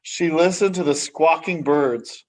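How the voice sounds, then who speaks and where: A man with a fairly high voice reads a children's picture book aloud. He takes his time, phrasing dramatically and letting the ends of sentences drop to a hush. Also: rough recording. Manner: measured, dramatic phrasing with hushed sentence endings. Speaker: story reader.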